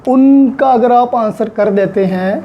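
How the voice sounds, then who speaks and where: A man with a Pakistani accent giving an explanatory law lecture, deliberately repeating and stressing key points and mixing in English legal terms. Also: very high-pitched, lo-fi sound.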